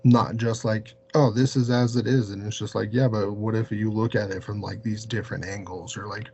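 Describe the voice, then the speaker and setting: A man chatting casually, haltingly and in a rambling way, leaning heavily on filler words. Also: on the low side, fairly even intonation, a little mellow. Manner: halting, rambling, heavy use of "like". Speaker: podcast interviewer. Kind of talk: casual chat. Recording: dull lo-fi mic with hum and hiss.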